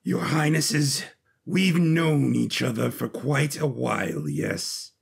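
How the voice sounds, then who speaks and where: A man voicing a ruthless, sardonic king in audio fiction, speaking in a deliberate, formal and condescending way. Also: medium pitch, lively intonation, creaky, husky, exaggerated voice. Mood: measured, formal.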